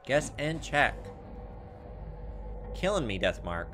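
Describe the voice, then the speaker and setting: Male gamer host, casual and very expressive pitch, thinks aloud and reads on-screen text, clear music underneath. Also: slow. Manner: thinks out loud, exasperated asides. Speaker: gaming video host. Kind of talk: casual let's play commentary with read-aloud game text. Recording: background music behind voice. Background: video game music.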